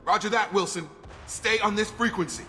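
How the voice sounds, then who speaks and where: A man's voice: high-pitched, moderate pace, pushed and bright, a little hiss or hum. An actor with an American accent playing a loyal, plain-spoken male soldier in a video game, matter-of-fact and military in manner. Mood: urgent. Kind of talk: video game dialogue acting.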